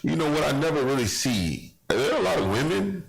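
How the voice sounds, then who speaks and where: A man's voice: on the high side, very animated, brisk, vocal fry, rough recording. A man hosting a radio interview, encouraging his guests with frequent "absolutely" and "man". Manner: affirming, repeats "man" and "absolutely". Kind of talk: casual interview talk.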